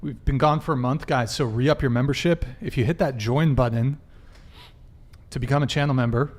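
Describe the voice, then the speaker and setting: A man's voice: medium pitch, moderate pace. A man hosting a live talk podcast, casually addressing his viewers. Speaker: podcast host.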